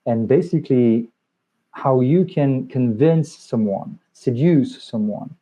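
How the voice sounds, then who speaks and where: A man's voice: medium pitch, expressive, slow pace, warm tone. A man interviewing a film director at a festival, asking questions in an easy, encouraging way. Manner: conversational, encouraging. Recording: dull lo-fi sound.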